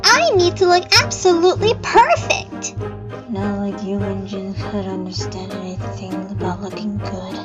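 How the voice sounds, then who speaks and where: A performer voicing a vain, snappy female train coach in a cartoon, whiny and drawn-out, with music behind. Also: medium pitch, big pitch swings, highs cut off. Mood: haughty. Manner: haughty, whiny, drawn-out delivery. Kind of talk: fan-made animated train story acting.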